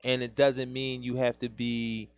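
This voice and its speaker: A man's voice: mid-range voice, little pitch movement, a little slow, clean tone. A man with a Philadelphia accent hosting a casual internet radio talk show, rambling loosely and laughing often. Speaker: podcast host.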